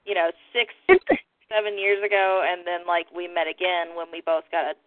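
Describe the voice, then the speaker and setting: American female podcast guest, slightly low voice, casual chatty delivery, dry phone-like sound. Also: fairly even intonation, moderate pace, no room sound. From Kentucky, United States. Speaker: podcast guest, comic artist. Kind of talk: casual chat. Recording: thin phone-like audio, dry.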